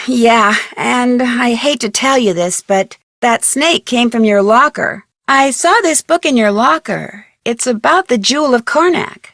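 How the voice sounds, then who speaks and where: A young American woman playing an inquisitive, plucky amateur detective in an adventure game, speaking earnestly and expressively with clear enunciation. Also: a little high, expressive, unhurried, fairly bright tone, no room sound, clean studio sound. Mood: earnest, probing. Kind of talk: scripted adventure game voice acting.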